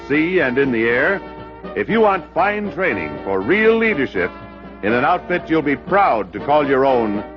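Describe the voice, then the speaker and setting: An American man announcing in a formal, polished 1940s radio style with a scripted recruiting pitch. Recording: dull vintage radio broadcast, music behind.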